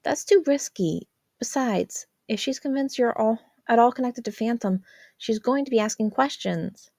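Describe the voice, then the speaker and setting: Woman voicing a worried, high-pitched teenage big sister. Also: moderate pace, warm tone, no echo, studio quality. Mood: chiding, worried. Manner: chiding, emphatic. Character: worried, exasperated protective older sister, teenage girl.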